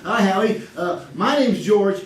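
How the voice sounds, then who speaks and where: A man acting as an earnest, dull but eager married contestant in an old-time radio comedy. His voice is high for a man, repeats itself hesitantly, and sounds distant in an echoey room. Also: lively intonation, unhurried. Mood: earnest, proud. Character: eager, earnest, tedious husband on quiz show. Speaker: game show contestant. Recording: echoey, distant mic, faint noise.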